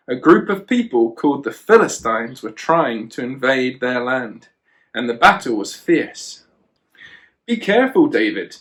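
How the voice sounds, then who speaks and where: A man's voice: mid-range voice, fairly bright tone, poor audio. A man reading a children's storybook aloud, with chatty asides to a child. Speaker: children and youth worker, storyteller.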